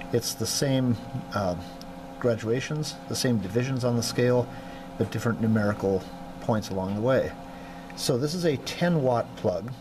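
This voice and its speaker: A man giving a methodical hands-on demonstration of electronics test equipment as an instructor, clearing his throat now and then, at a workbench indoors. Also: on the low side, noisy background. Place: indoor workroom.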